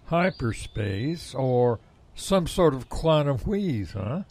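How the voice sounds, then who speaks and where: A man playing a cranky, cocky, reckless volunteer fighter in an audio drama, with gruff, folksy defiance. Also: mid-range voice, sing-song, slow pace, a little mellow. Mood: irritable, skeptical.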